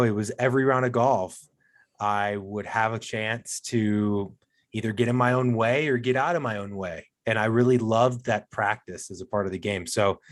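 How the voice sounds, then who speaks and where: A man guesting on a golf podcast, chatting casually and telling stories with self-deprecating humor. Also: fairly low pitch. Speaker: podcast guest, co-host of another golf show. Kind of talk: casual interview chat.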